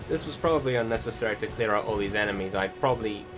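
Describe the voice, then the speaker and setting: A man's voice: fairly low pitch, fast talker. A man giving casual gameplay commentary as a voice-over, rambling and correcting himself as he goes, with the odd swear word. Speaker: gaming channel host. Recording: voice over loud game music. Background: loud game soundtrack.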